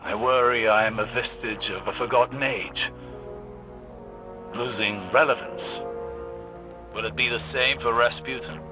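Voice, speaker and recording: An actor playing a proud, remorseful old-world warrior in a video game, speaking formally and deliberately. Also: male voice, mid-range voice, natural voice. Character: proud, remorseful ancient warrior haunted by his past. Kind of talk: scripted video game cutscene acting.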